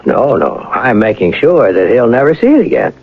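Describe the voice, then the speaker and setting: An actor playing a wealthy, educated young gentleman turned bank robber in a radio drama, polished and formal with measured pauses. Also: medium pitch, big pitch swings, gravelly, breathy edge, heavily acted. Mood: suave, satisfied. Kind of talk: radio drama acting and first-person narration. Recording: muffled vintage radio broadcast.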